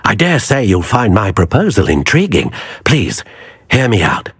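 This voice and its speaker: Old British wandmaker: very husky, low voice, courteous and earnest, swooping expressive phrasing for a game. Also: male voice, exaggerated voice. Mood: courteous, intriguing. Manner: genteel, measured, expressive. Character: courteous elderly male wandmaker, earnest and wistful. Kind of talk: video game acting.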